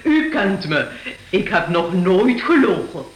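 A woman acting a warm-hearted, affectionate elderly grandmother in a radio drama. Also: on the low side, big pitch swings, slow pace. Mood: joyful, lively. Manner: theatrical, affectionate. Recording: roomy, echoing radio play studio.

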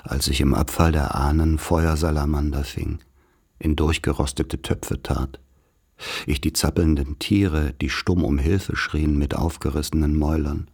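Grave guy remembering rural childhood, super deep husky voice, slow solemn radio play monologue. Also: fairly even intonation, a little mellow, full character voice. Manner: solemn, measured poetic delivery. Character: grave man recalling a dark rural childhood.

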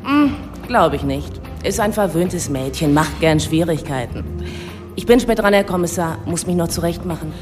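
A woman's voice: on the low side, expressive, normal speed, lightly acted. A woman playing a curt, guarded prostitute in a radio drama, offhand and evasive. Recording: reverberant club scene, music underneath.

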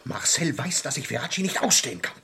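An actor playing a sarcastic, easily piqued young lawyer, the son in a German radio crime drama, with emphatic theatrical delivery. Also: male voice, mid-range voice, big pitch swings, fast talker, bright, forceful tone, slightly rough, full character voice. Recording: vintage radio play studio recording.

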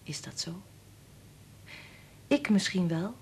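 An actress playing a warm, frank wife and mother in a Dutch radio play, talking in a soothing, confiding way. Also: on the low side, very animated, slow, breathy edge, heavily acted. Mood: soothing, affectionate.

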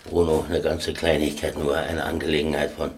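An actor playing a stubborn, lonely old man in a German radio play, halting, repetitive and pleading. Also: very deep voice, little pitch movement, a little hiss or hum. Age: older.

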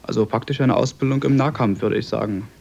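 Gravelly young man on dull old tape. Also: medium pitch, fast talker, darkish tone. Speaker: refugee eyewitness, former pupil. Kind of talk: explanatory interview account.

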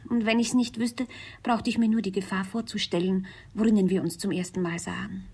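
An actress playing a gentle, devoted young woman in love in a classical comedy radio play. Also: mid-range voice, fairly even intonation, brisk, slightly rough. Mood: tender.

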